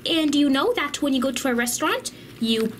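A woman voicing an excitable, bossy toddler girl doll in chatty, exclaiming doll play for children. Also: high voice, fairly quick, slight background noise. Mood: excited, curious.

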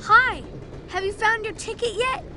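A woman's voice: extremely high pitch, a little slow, background noise. A woman voicing a brave, earnest young boy in a video game, eager and reassuring. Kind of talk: video game cutscene acting.

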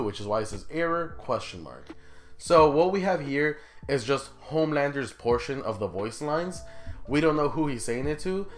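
A man in his twenties giving casual gaming commentary to viewers as a video host, informal and conversational, often throwing questions at them. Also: medium pitch. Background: music.

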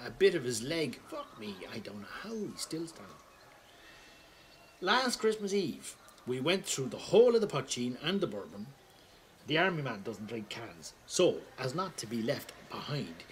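A man acting an animated, roguish old Irishman. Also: on the high side, slight background noise. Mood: wry storytelling. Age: older. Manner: rambling, sweary, wry and chuckling. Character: roguish, callous, wry older Irish pensioner reminiscing. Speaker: storyteller. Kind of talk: dramatic monologue told as casual pub-style anecdote.

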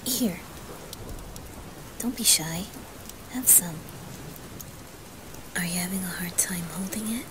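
A gentle anime boy, played by a woman, speaks slowly in a soft, breathy near-whisper. He is caring and hesitant, pausing often, in an ASMR roleplay with noisy rain ambience behind him. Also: fairly low pitch. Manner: hesitant, quiet, with pauses.

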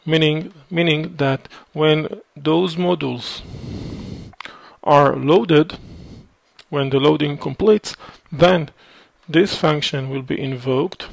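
A man slowly walking through a code sample in a screencast, explaining step by step with frequent fillers. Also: fairly high pitch, gravelly, no echo. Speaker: programming instructor. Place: at a computer, voice over screen recording.

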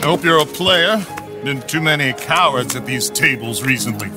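An actor playing a contemptuous, hot-tempered rich gambler in a western video game, boastful and clipped. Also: male voice, mid-range voice, moderate pace, music in the background, lightly acted. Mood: haughty, contemptuous. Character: haughty, hot-tempered rich gambler at a riverboat poker table. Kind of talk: video game cutscene acting.

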